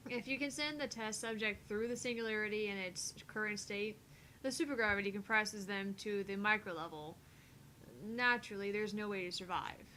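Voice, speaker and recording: A woman's voice: medium pitch, a bit bright, slight background noise, lightly acted, low-quality recording. Woman acting a cool, precise young scientist. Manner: precise, matter-of-fact explaining. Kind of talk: visual novel voice acting.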